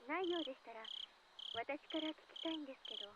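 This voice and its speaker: Actress as a high-pitched, anxious teenage girl. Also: rapid-fire, very noisy, natural voice. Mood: polite, probing. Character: anxious teenage girl confiding over the phone. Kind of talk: Japanese visual novel voice acting. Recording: dull lo-fi sound under loud music.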